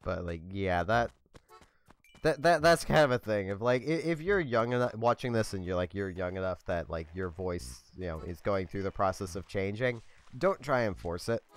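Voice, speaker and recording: A young man hosting a let's play, talking in a monotone, low-key, rambling way with a slightly scratchy voice. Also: normal speed. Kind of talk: casual gameplay commentary.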